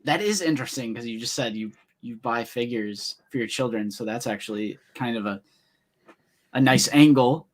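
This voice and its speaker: A man's voice: medium pitch, normal speed. A man in his twenties hosting a livestream chat, upbeat and addressing the live chat. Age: 20s. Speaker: host.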